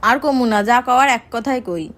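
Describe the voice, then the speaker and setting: A woman's voice: a little high, normal speed. A young woman making a teasing prank phone call, coy, pouty and insistent. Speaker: prank caller. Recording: clear background noise.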